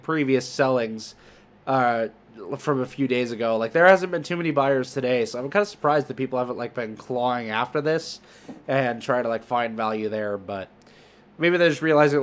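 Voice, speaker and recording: A man speaks in a mid-range voice as the host of an online market rundown. His commentary is casual and loose, wandering a bit as he thinks aloud while looking things up. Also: medium pitch. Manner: rambling, thinking aloud while browsing listings. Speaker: host of a trading card market video. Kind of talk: casual screen-share commentary.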